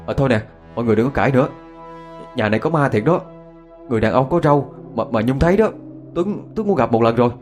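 A man's voice: mid-range voice, lively intonation, quick pace, long pauses, darkish tone, faint music behind, voice pushed up, close to an everyday voice. A man playing a young male student in a ghost story, a concerned friend who talks colloquially and hesitantly. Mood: earnest.

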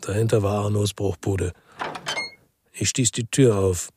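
A man playing a brooding former police detective who narrates a crime radio drama in a sober, terse, reflective way with a husky voice. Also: fairly low pitch, steady tone, unhurried, background noise, lightly acted.

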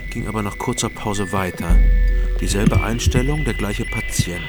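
A man narrating a crime story in first person and questioning witnesses calmly. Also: fairly low pitch, normal speed, lightly acted. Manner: calm, questioning. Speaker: narrator and detective protagonist. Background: music.

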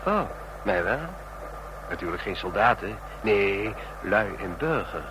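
A smug, animated know-all train passenger. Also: male voice, a little slow. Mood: smugly chatty. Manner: talkative, knowing, needling with rhetorical questions. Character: chatty, smug male train passenger obsessed with spy stories. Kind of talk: Dutch radio drama acting. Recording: noisy radio play with vehicle rumble.

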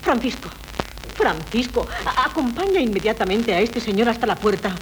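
An actress playing a haughty young society wife in a 1970s radio comedy, theatrical and imperious. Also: female voice, fairly high pitch, animated, moderate pace, lightly acted. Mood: indignant, haughty. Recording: noisy old 1970s radio recording.

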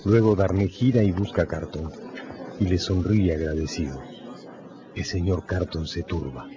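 A man narrating a radio novel in a scripted, dramatic storytelling style with measured phrasing. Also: low-pitched, highs cut off, crowd noise.

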